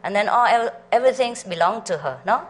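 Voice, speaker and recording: A woman's voice: mid-range voice, expressive, a little fast, heavy background noise. A middle-aged woman from Vietnam, a spiritual teacher telling stories to a meditation group in a chatty way, often laughing and asking her listeners questions. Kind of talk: storytelling talk to a meditation group.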